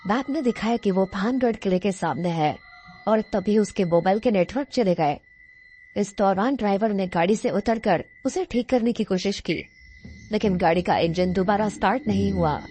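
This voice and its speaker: A woman's voice: mid-range voice, normal speed, mellow, slight background noise. A woman reading scripted narration for a horror cartoon story.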